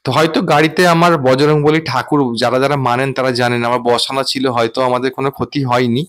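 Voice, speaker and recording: A man's voice: medium pitch, fairly even intonation, fast, a bit bright. A man hosting a vlog, recounting a personal experience in an earnest, wordy, explanatory way. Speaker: vlogger and host.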